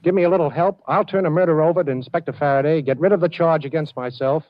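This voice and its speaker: An actor playing a wry, cool-headed amateur sleuth on the run in a 1940s radio drama. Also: male voice, a little high, fast talker, no room sound, close to an everyday voice. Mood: polite, persuasive. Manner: wry, wisecracking. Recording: muffled old radio recording.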